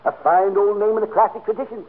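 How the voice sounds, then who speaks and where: A man's voice: high voice, steady tone, quick pace, close to an everyday voice. Tinny old-radio pompous professor, played by a man. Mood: solemn, pompous. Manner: grandiose, formal, wordy. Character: pompous male professor of mystic fortune vibrations. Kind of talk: 1940s radio comedy acting. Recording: tinny, band-limited 1940s radio recording.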